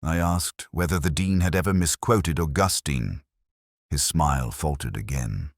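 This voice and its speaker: A man reading a scripted first-person detective story in a measured, brooding, literary manner. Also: very deep voice, no room sound, studio quality. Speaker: narrator.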